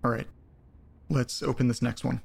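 Uneasy male actor as audio drama letter reader, creaky medium voice, deliberate storytelling, troubled and foul-mouthed. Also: mid-range voice, steady tone, slight background noise. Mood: shaken, curious. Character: troubled man reading strange letters aloud, profane and uneasy.

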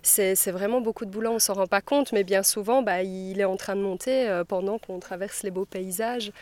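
A woman with a Belgian French accent, chatting casually in an interview, dreamy and halting, laughing often. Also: mid-range voice, fairly even intonation, a little mellow. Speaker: interviewee, traveler.

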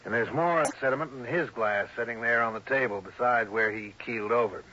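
An American actor playing a tough, brusque, impatient police sergeant in a 1960s radio drama. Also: male voice, medium pitch, a little fast, pushed and bright. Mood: brisk, impatient. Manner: brusque, clipped, commanding. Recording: dull vintage radio recording.

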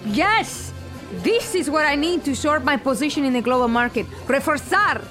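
Give this one to a high-pitched female producer, grand and dangerous. She talks emphatically and profanely, every phrase made theatrical, as in an audio drama with music underneath. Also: expressive, normal speed. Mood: excited, demanding. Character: volatile, theatrical female TV producer, swearing and menacing. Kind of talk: audio fiction acting.

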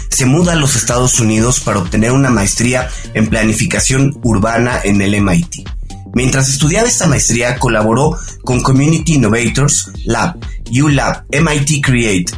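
A man co-hosting a business podcast, reading a guest's introduction in a measured way and asking questions. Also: medium pitch. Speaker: podcast co-host. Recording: faint music behind.

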